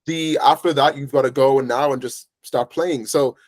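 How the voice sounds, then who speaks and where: A man's voice: mid-range voice, fairly even intonation, normal speed, no room sound. A young man in his early twenties from Ireland, with an Irish accent, talking casually as an interview guest in a rambling way, with lots of fillers. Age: early 20s. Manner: rambling, filler-heavy storytelling.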